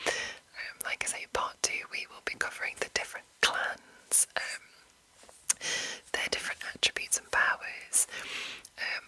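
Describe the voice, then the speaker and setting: A woman whispering an informal, rambling ASMR explainer as the host, in a breathy, unvoiced voice. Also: sharp tone, rough recording. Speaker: host of ASMR video series on roleplaying games.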